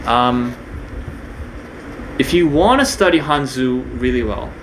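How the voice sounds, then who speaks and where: A young man from Korea talks unscripted to the camera, stopping often to restart his sentences. His pitch sits in the middle of the range. A steady hum runs under the recording. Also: takes it slowly, poor audio. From Korea, raised partly in New Zealand. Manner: hesitant, many fillers and restarts. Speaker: video creator. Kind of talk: casual unscripted monologue.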